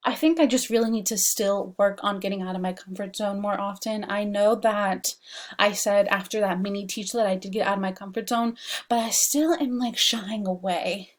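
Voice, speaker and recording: A woman's voice: mid-range voice, fairly even intonation, moderate pace. Young woman chatting through a class presentation. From Washington DC, United States. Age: young adult. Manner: chatty, frequent "like" and "um" fillers. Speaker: student presenting an assignment. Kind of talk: casual recorded class presentation. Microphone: laptop webcam mic.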